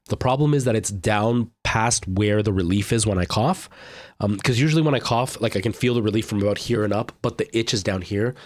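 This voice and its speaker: A man's voice: fairly low pitch, dry recording, clean studio sound. A young man with a stuffed-up nose and a lingering cough, chatting casually with viewers while live-streaming a game, rambling with lots of fillers.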